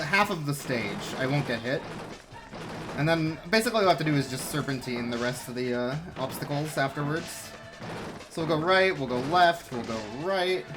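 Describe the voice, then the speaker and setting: A man giving casual, joking live speedrun commentary with a sarcastic edge. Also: a little high. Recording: game music playing behind.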